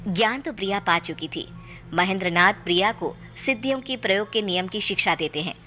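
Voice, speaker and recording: A woman's voice: medium pitch, fairly quick, sharp tone. A woman narrator reading a scripted horror story in a storytelling style. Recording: clear music bed under the voice. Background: background music.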